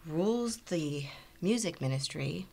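A woman's voice: low voice, animated, a little slow, creaky, warm tone, heavily acted. A woman playing a hesitant, conflict-shy church committee member in a training film. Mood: reluctant. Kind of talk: scripted training film dialogue. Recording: faint background noise.

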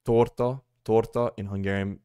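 A man's voice: fairly low pitch, fairly even intonation, moderate pace, dark tone, clear, resonant tone. A young man from Australia, casually vlogging about learning languages from his bedroom, rambling with lots of fillers. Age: young adult. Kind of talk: casual talking-head vlog. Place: small bedroom, indoors.

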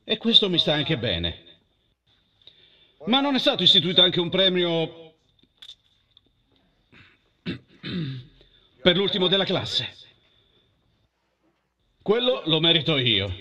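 Drunken, bitter washed-up male singer played by a man, high-ish voice, swinging pitch, rambling film-dub drama. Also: very animated, slow pace, long pauses, sharp tone, full character voice. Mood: mocking, belligerent. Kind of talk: amateur film dubbing.

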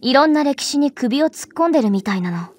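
A woman playing a small, bossy, breezy goddess in a Japanese anime game, casual, cheeky and quick to scold. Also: high voice, expressive. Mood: serious. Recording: music behind the voice.

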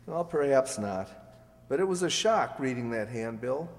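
A man playing a weary, anxious fugitive doctor and father in an old-time radio drama, troubled and halting, on a hall stage. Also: mid-range voice, lively intonation, lightly acted. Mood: worried. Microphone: stand microphone. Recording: echoey hall stage recording.

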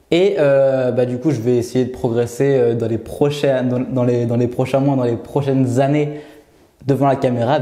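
A young man in his twenties talks straight to camera in a small bare room, often stumbling over his words and restarting. His voice is mellow and warm, with a roomy echo around it. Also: mid-range voice. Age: 20s. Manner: hesitant, many fillers and restarts. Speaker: YouTuber. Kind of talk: unscripted vlog talking to camera.

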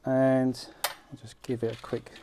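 A middle-aged man with a British accent hosting an informal homemade how-to vlog, talking in a halting, self-deprecating way as he works. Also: mid-range voice, little pitch movement, darkish tone, slight background noise. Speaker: fishing vlog host. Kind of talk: casual how-to demonstration. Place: small room at home, indoors.

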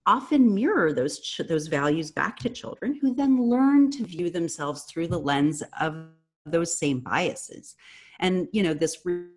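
Woman on a laptop video call from her living room, low and a bit husky, lots of "you know"s, earnest academic panel talk. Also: a little mellow. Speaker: panelist, professor. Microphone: laptop webcam on a video call.

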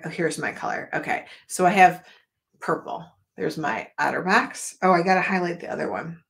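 A middle-aged woman chatting casually with viewers on a live art stream, rambling and talking straight to them, losing her voice a little. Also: fairly low pitch. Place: home art studio, indoors.

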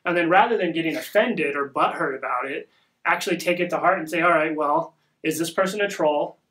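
A young man hosting a casual podcast at home, talking in a rambling, upbeat way with a soft voice, recorded by a camera across a small room. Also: a little high, a little fast, poor audio. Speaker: podcast host. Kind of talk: casual marketing podcast talk.

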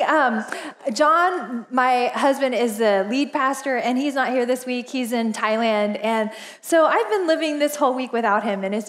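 Woman pastor, 40s, high bright register, animated storyteller with many fillers, headset mic in a church auditorium. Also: slight background noise. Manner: chatty and animated, laughs often, lots of fillers. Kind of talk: casual storytelling sermon. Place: church stage, large indoor auditorium.

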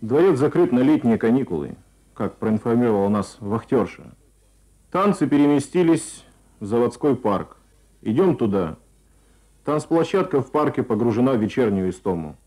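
A man's voice: mid-range voice, animated, normal speed, mellow, husky. A man reading scripted, literary Soviet-style radio reportage.

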